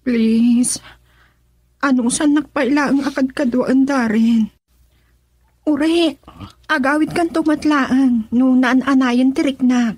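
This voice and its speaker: A woman's voice: high voice, steady tone, takes it slowly, long pauses, darkish tone, slightly rough, dry recording, exaggerated voice. An actress playing a weak, ailing young woman pleading in a radio drama, with a Filipino accent. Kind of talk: Ilocano radio drama acting. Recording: dry studio, faint music behind.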